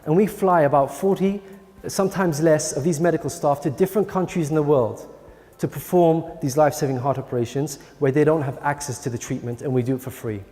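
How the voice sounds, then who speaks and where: A man's voice: on the high side, brisk, warm tone, in a large hall. A man giving a prepared presentation as a charity speaker.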